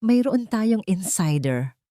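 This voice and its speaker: A woman with a Filipino accent voicing a cautious, discreet male lawyer in a hushed, guarded manner for an audiobook. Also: mid-range voice, very animated, slow, dry recording, studio quality, voice pushed up. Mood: suppressed excitement.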